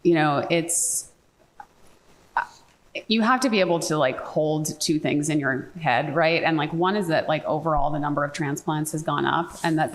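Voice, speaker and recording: A woman on a conference panel, speaking emphatically and quickly, with frequent "like" and "right?". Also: deep voice. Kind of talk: panel discussion remarks. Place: conference hall. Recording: reverberant conference hall.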